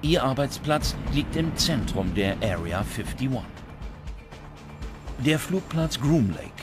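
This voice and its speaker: A man reading scripted documentary narration with dramatic, measured phrasing. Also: mid-range voice, lively intonation. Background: background music.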